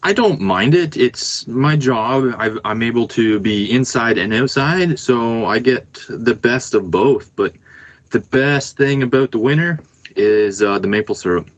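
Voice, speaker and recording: A man's voice: medium pitch, measured pace, no echo, lo-fi sound. A man with a Canadian accent chatting casually as a guest, explaining things in a plain, easygoing way. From rural Canada. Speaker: podcast guest, band musician. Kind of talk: casual chat.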